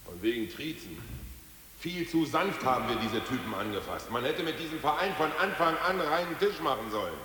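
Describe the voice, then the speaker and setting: A man's voice: medium pitch, expressive, brisk, bright, forceful tone, long reverb tail, slight background noise. An actor playing an ousted male Politburo member, blunt and stubborn, in a 1980s radio play. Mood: bitter.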